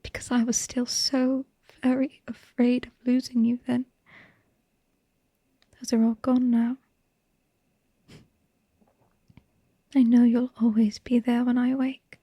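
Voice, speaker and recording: A woman playing a devoted, tender and haunted vampire wife in a hushed, breathy, intimate ASMR bedtime monologue. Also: on the high side, no room sound, exaggerated voice. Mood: soft, loving. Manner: slow, tender, halting delivery with lingering pauses.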